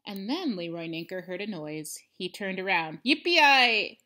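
A woman in her thirties reading a storybook aloud to children, expressively and with emphatic pauses. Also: fairly low pitch, fairly bright tone. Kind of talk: animated children's read-aloud. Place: indoors, living room at home.

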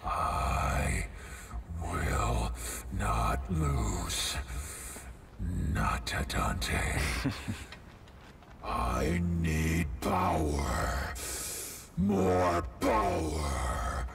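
A man's voice: rumbling low, huge pitch swings, very slow, gravelly, long pauses, heavy background noise, full character voice. An actor playing a proud, power-hungry demon king in a video game, grandiose and menacing. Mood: desperate hunger. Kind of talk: dramatic video game voice acting.

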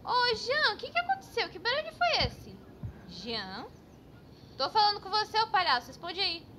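A woman's voice: very high voice, big pitch swings, normal speed, bright, forceful tone, a little hiss or hum, heavily acted. A woman playing a bossy, exasperated young painter in a cartoon comedy, scolding in a Brazilian accent. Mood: annoyed. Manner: scolding, exclamatory. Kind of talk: cartoon sketch comedy dialogue.

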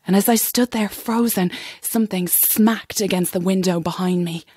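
A woman's voice: mid-range voice, normal speed, darkish tone, slightly rough, no echo, lightly acted. An actress playing a nervy young chorus girl telling a ghost story in an audio drama, chatty and suspenseful. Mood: hushed, spooked. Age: young adult.

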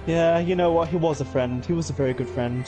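A man's voice: on the high side, fast. A young man playing a game with a friend, joking and self-mocking with some swearing. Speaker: co-op player. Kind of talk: casual gaming chat. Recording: game music playing under the voice.

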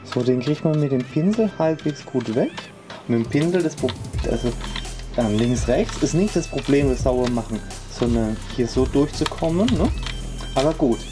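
A woman with a deep, low voice hosts a home tech review, talking in a loose, rambling way. She keeps tacking on little "right?" asides and trails off mid-thought. Music plays clearly underneath. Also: expressive, normal speed. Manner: rambling, chatty, hedging with "ne?" and fillers. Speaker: tech channel host. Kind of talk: casual product review vlog. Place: indoors at home, moving between rooms.

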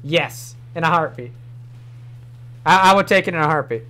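High-voiced young man with a New York accent. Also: moderate pace, bright, forceful tone. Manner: rambling, swears casually, laughs often. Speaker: streamer. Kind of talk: casual livestream chat. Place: small home room.

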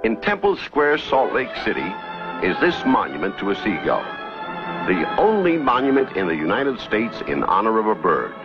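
Deep-voiced man narrating. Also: wildly swooping pitch. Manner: measured, informative. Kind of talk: scripted documentary narration. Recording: dull old TV soundtrack with music.

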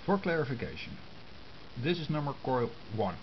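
A man giving an informal step-by-step tutorial in short, halting phrases, picked up by a camera's built-in microphone. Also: medium pitch, big pitch swings. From Netherlands. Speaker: amateur radio hobbyist giving a tutorial. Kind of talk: informal step-by-step explanation of hand-drawn diagrams. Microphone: compact camera's built-in microphone. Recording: muffled camera microphone with hiss.

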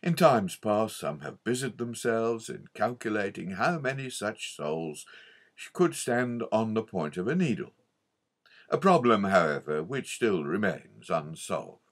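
A man reading an old theological book aloud as an audiobook narrator, in an expository manner with a husky voice. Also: fairly low pitch, big pitch swings, unhurried. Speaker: audiobook reader.

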